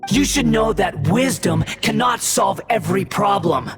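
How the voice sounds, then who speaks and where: Haughty, slow-spoken young god villain. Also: male voice, sits high, animated, vocal fry, breathy edge, faint music behind, exaggerated voice. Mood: mocking, menacing. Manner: haughty, theatrical, contemptuous. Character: arrogant young male puppet turned god, mocking, grandiose villain. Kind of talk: video game voice acting.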